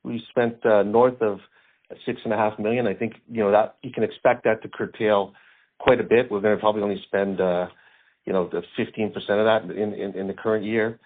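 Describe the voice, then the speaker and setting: A man speaking as a finance executive, answering questions on an earnings call in a halting way, repeating words as he goes. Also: lowish voice, fairly even intonation. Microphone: conference call line. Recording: thin phone-like conference call line.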